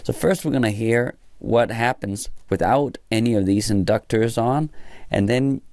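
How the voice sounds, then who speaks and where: A man giving an informal hands-on tutorial as an instructor, thinking aloud in halting short phrases. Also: mid-range voice, darkish tone, dry recording, clean studio sound. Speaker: electronics instructor. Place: small indoor room at a workbench.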